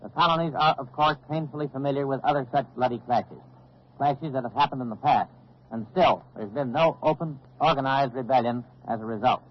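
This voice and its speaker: An actor playing a sober military analyst in a 1940s radio drama, giving formal, grave commentary. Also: male voice, medium pitch, brisk, close to an everyday voice. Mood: grave, considered. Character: sober male military news analyst, a major. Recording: dull old radio recording.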